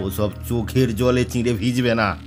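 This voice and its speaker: Guy doing a mean cartoon moneylender, slow n lowish, mocking and bossy, music behind. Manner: taunting, domineering. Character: cruel, mocking village moneylender demanding repayment. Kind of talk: animated horror cartoon dialogue.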